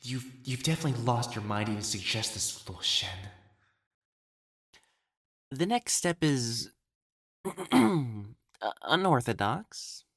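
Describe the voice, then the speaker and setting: A man playing an elegant, proper matchmaker in a dramatic audio roleplay, speaking formally and haltingly as he hides his jealousy. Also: medium pitch, sing-song, breathy edge, heavily acted. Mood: flustered, agitated. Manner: formal, halting, stammering when flustered.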